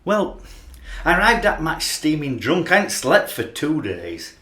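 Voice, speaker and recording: A man's voice: fairly high pitch, huge pitch swings, unhurried, pushed and bright, exaggerated voice. A man acting an anguished, obsessive Yorkshire rugby fan. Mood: anguished, regretful. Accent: Yorkshire English. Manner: anguished, confessional, searching. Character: obsessed, aggrieved, ageing male rugby league fan, tormented by doubt. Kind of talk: radio play acting, emotional monologue and dialogue. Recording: roomy, echoing voice recording.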